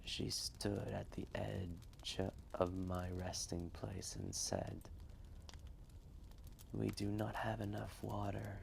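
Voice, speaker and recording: Man voicing a slow, gravelly ancient dragon. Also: fairly low pitch, animated, full character voice. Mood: solemn, tender. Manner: slow, deliberate, pausing, solemn reminiscing. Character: ancient male dragon, reflective, humbled old storyteller. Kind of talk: fireside roleplay storytelling. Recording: faint background noise, fireplace ambience.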